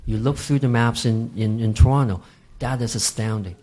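A man from Hong Kong, a real estate guest on a podcast, explaining market trends in a measured, emphatic way. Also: mid-range voice, dark tone. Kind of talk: podcast interview.

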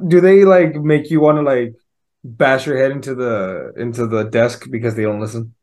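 A man's voice: mid-range voice, normal speed, darkish tone. A man co-hosting a casual movie review podcast, blunt and teasing, swearing freely. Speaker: podcast co-host.

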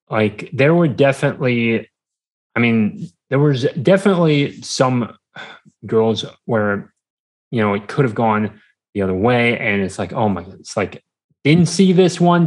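A man in his twenties chatting casually as a podcast guest, speaking in halting, hesitant, broken phrases. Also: medium pitch, lively intonation. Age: 20s. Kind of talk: casual interview chat.

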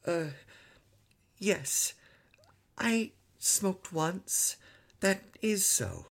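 A man's voice: high-pitched, sing-song, slow, voice pushed up, exaggerated voice. Man doing a stammering timid little boy. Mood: hushed. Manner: soft, stammering. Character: delicate timid little boy, gentle and perplexed.

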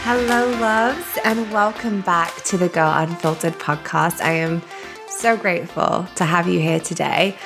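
A woman's voice: lowish voice, measured pace, gravelly, over music. A British woman hosting a podcast in an effusive, gushing manner, a little sniffly from a cold. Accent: British English. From England. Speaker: podcast host. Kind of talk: casual interview chat.

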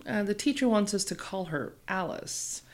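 A woman playing a lively Texan college girl. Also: medium pitch, moderate pace, natural voice. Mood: chatty. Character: friendly young female college student from Texas.

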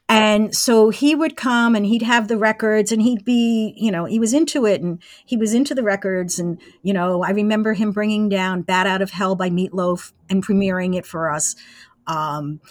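A woman's voice: mid-range voice, no echo. Older woman reminiscing in a dry-sounding recording. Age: 60s. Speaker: interview guest, former college radio engineer. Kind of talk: casual anecdotal reminiscing.

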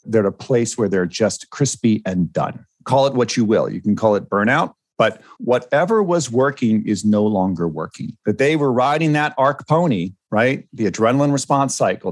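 Measured man podcast co-host, dry. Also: medium pitch, dry recording. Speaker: podcast co-host and ADHD coach. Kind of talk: reflective podcast talk.

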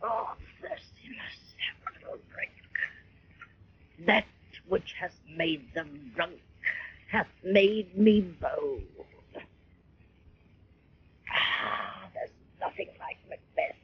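Boozy grand old-school stage duchess, muttering and declaiming, big swoops, muffled radio. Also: female voice, on the low side, lively intonation, slow pace, a little hiss or hum. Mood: tipsy, theatrical. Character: theatrical, tippling Duchess, grandly reciting, easily terrified. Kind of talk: 1940s radio drama acting. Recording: muffled vintage radio recording.

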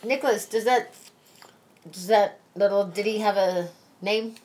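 A woman in her forties hosting a casual podcast, chatty and quick to laugh, with a slightly irritated edge. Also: mid-range voice, a little mellow. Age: 40s. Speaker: podcast host.

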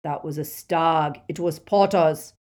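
A woman voicing a stern male dark-wizard henchman in an audiobook, loud, accusing and threatening. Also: sits low, fairly even intonation, takes it slowly. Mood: insistent.

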